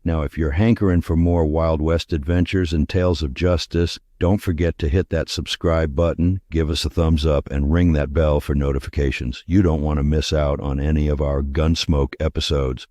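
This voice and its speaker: A male host giving a channel intro in folksy cowboy talk, his voice very low. He drawls in a hammy way. The sound is dry and close. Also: fairly even intonation, moderate pace, few pauses, dark tone, dry recording.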